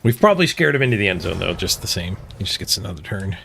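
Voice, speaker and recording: Male game streamer, casual live commentary, thinking aloud, lively swooping pitch, gravelly creak. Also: fast talker, a little hiss or hum. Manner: thinks aloud, playful exclamations.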